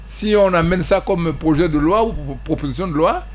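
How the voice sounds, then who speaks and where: A man from the Central African Republic, a party president speaking as a guest in a panel debate, halting, repeating words and pressing his points. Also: fairly high pitch, slight background noise. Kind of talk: panel talk show debate.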